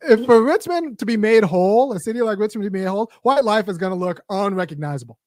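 A woman hosting a casual political chat, talking fast and animated and often laughing. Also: mid-range voice, dry recording, clean studio sound. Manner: animated, laughing, acts out quotes. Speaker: podcast host.

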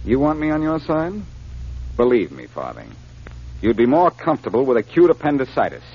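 Wisecracking, lively radio hero. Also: male voice, medium pitch, a little fast. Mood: defiant, wry. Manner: cool, breezy, wry. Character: wisecracking, unflappable male adventurer hero. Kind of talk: 1940s radio drama acting. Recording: muffled 1940s radio recording.

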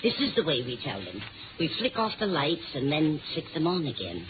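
Kindly little old librarian lady, genteel and fretful, animated pitch, noisy vintage radio sound. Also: moderate pace, phone-quality sound. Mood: fussy, friendly. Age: older. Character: kindly little gray-haired librarian, older woman, chatty, fretful. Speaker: radio drama actor. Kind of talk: 1950s radio acting.

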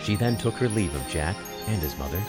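A man narrates a storybook tale in a low voice with animated intonation. His delivery is measured and expressive, like radio storytelling, and music plays clearly behind him. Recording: music under the voice.

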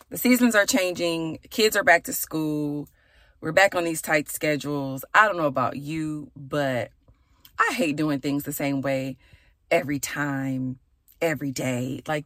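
A woman in her thirties with a raspy, gravelly voice hosts her podcast from inside her car. She talks in a low, chatty way, full of "y'all" and "okay." The sound is dry and close, with no room echo. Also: a bit bright. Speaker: podcast host. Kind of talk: casual chat with prayer.

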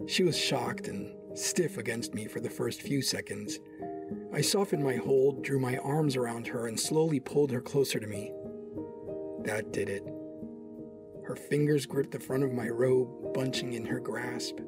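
A man's voice: medium pitch, unhurried, over music, lightly acted. A man playing a smug, smitten Sith Lord who narrates an audio drama in the first person. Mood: passionate, tender.

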